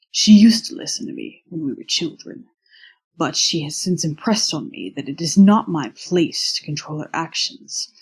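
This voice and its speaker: Someone doing a stiff young college guy, husky voice, super formal and earnest, audio drama style. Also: mid-range voice, low-quality recording. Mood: resigned, earnest. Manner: formal, measured, precise wording without contractions. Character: formal, stiff, earnest young male physical therapy student. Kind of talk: audio drama acting of fan fiction.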